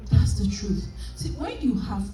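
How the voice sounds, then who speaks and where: A woman giving a motivational sales training talk at a convention, animated and emphatic, often laughing. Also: medium pitch, a little slow, faint music behind. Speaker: business trainer. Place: large convention hall. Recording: echoey hall from far off.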